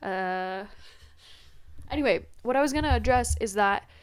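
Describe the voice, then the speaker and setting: A woman's voice: on the high side, animated, moderate pace, clean tone, no room sound. A young woman hosting her first podcast from a laptop, rambling casually in a scattered, self-deprecating way, her nose stuffed and congested. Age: young adult. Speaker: podcast host. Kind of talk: rambling casual vlog chat. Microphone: laptop built-in mic.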